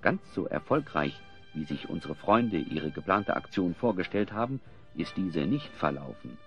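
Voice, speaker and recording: A man's voice: deep voice, big pitch swings, normal speed, a bit bright. A man narrating an audio drama as a scripted storyteller. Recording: slightly dull sound, music underneath.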